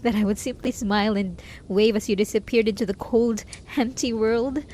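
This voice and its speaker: A woman's voice: a little high, fairly even intonation, moderate pace, dark tone, a little hiss or hum, lightly acted. A woman playing a lonely, obsessive hermit in an intimate roleplay monologue, pleading desperately with sighs and whispers. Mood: frantic, possessive. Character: lonely, obsessive, lovesick hermit begging a traveler to stay.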